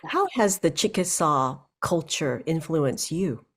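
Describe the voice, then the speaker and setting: A woman hosting a news program, asking measured, scripted interview questions. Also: deep voice, lively intonation. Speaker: news host. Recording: music bed underneath.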